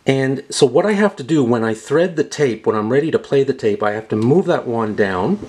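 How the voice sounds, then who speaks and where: A man's voice: medium pitch, lively intonation, moderate pace, a little mellow. A man giving a casual, methodical demonstration of equipment as a review host, explaining step by step. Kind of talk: casual product demonstration narration. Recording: clear background noise.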